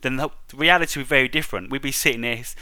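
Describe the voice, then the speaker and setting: A man's voice: mid-range voice, rapid-fire, pushed and bright, clean tone, no echo. A man hosting a casual discussion, feeling tentatively for his words and checking that he is understood. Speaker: interview host.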